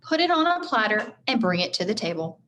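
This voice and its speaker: A woman's voice: medium pitch, big pitch swings, a little fast, a bit bright, slight background noise. A woman voicing a kindly, earnest Pilgrim girl with quaint old-fashioned phrasing in a children's storybook.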